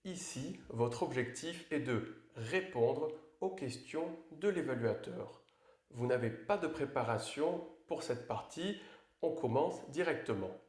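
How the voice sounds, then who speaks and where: A man presenting an online French course, clearly explaining how an oral exam works. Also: medium pitch, lively intonation, slow, a little mellow, roomy sound. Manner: clear, instructive. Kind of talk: scripted exam tutorial narration.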